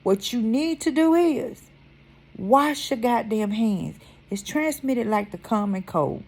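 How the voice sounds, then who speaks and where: Blunt, cussing woman with a gravelly edge. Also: fairly high pitch, lively intonation, takes it slowly, a little mellow. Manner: blunt, heated, swears freely, repeats for emphasis. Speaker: vlogger. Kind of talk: casual ranting vlog.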